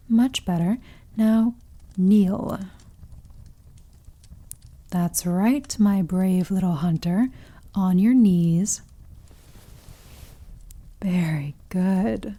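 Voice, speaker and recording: A woman playing a possessive, sadistic female vampire in an ASMR roleplay, seductive and commanding, purring condescending endearments and slipping into breathy whispers. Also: mid-range voice, dark tone.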